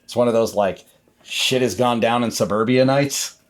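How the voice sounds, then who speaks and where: A man runs a tabletop horror game as game master. His voice sits at a middle pitch, and he narrates conversationally, building descriptions in halting, improvised phrases.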